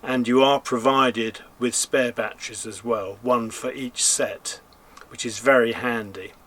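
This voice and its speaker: A man giving an informal gear review, explaining things in a measured, careful way. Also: mid-range voice, fairly even intonation, fairly bright tone. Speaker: presenter reviewing and testing gear. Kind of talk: informal product review commentary.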